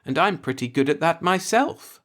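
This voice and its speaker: Man putting on a coy, high-pitched playful young woman. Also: animated, normal speed, fairly bright tone.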